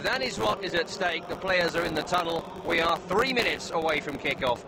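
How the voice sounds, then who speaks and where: A man's voice: fairly high pitch, expressive, fairly quick. A man hosting live radio football coverage, presenting to listeners over stadium noise. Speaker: match host and presenter. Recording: very noisy broadcast with stadium ambience behind. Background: loud outdoor stadium ambience.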